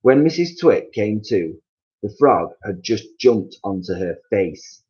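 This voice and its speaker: A man reading a children's story aloud as an expressive narrator, on a slightly muffled recording. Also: on the low side, takes it slowly.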